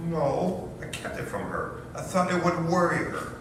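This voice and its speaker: A man's voice: sing-song, moderate pace. Man playing a flustered young nephew, echoey. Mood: defensive. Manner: hesitant, self-justifying. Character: flustered, evasive young nephew, new father. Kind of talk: staged readers theater performance. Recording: echoey hall from far off, faint rumble.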